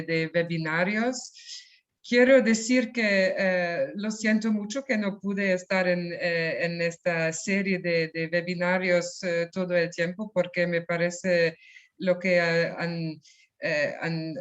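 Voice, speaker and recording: A non-native woman speaker talks slowly and haltingly, restarting phrases and leaning on fillers as she addresses a webinar. Her voice comes through a video call with dull, lo-fi sound. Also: lowish voice. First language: not Spanish. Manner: hesitant, many restarts and fillers. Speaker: EU culture program head.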